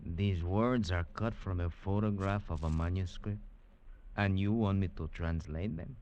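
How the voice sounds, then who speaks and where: An actor playing a courteous, sharp old Peruvian scholar in a 1940s radio drama. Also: male voice, sits low, dull audio. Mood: intrigued, sly. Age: older. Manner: courteous, savouring, deliberate phrasing. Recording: old radio recording, faint noise.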